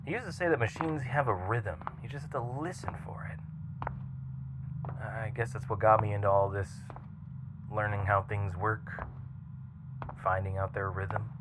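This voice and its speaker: A man playing a seasoned, flirty and chatty spaceship mechanic in an intimate ASMR roleplay, teasing and breathy, dropping toward a whisper. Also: lowish voice, moderate pace, long pauses, lightly acted. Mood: musing, chatty. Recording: clearly noisy ambient background hum.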